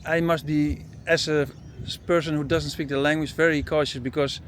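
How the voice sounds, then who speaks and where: A man's voice: a little high, level tone, moderate pace, fairly bright tone, slight background noise. A man with a Dutch accent chatting casually and jokily as a talkative travel vlogger and interviewer. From Netherlands. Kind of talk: casual street chat. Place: outdoors by parked camper vans.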